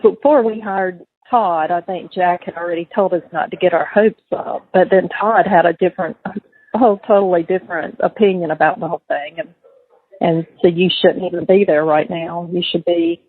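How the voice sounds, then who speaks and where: A woman's voice: fairly low pitch, fairly even intonation, normal speed, breathy edge. A middle-aged woman talking casually on a recorded phone call. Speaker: mother on a call to her son in jail. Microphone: telephone.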